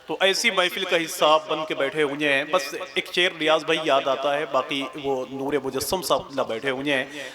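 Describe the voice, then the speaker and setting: A man compèring a religious gathering. He talks to the audience between recited devotional couplets, and he chants each couplet loud, high and drawn out with repetitions. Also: steady tone, brisk. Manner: addresses the crowd directly with coaxing asides. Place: live religious gathering with a crowd.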